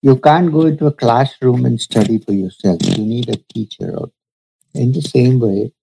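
A man, a spiritual teacher, telling a story from his past in a slow, measured, reflective way during an interview. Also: mid-range voice, vocal fry, dark tone, slight background noise, rough recording. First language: Urdu.

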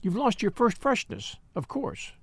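A man's voice: high-pitched, huge pitch swings, unhurried, slightly rough, no room sound, pitched above the performer's own voice, exaggerated voice. A man reading aloud and voicing a blunt, triumphant elderly spinster in a gossipy, quavering manner. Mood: triumphant gossip.

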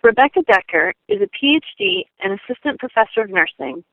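A woman presenting a scripted informational segment, earnest and expressive. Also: on the high side, brisk, no echo. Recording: tinny phone-like line.

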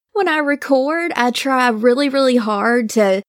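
A woman's voice: high voice, lively intonation, a little slow, no room sound, clean studio sound. A woman from Mississippi with a Southern accent, a podcast guest who giggles and hesitates a lot. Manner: giggly, hesitant, restarts often. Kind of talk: casual interview chat.

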